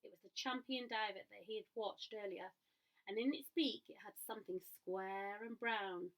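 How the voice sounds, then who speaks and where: A woman reading a picture book aloud to children in an expressive way, pausing to ask her listeners questions. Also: medium pitch, poor audio. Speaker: storyteller.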